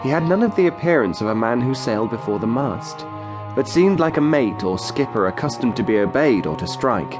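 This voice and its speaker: An actor narrating as a grown man recalling his boyhood, in a measured, literate storytelling style for an audio drama. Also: mid-range voice, animated, natural voice. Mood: descriptive. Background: music.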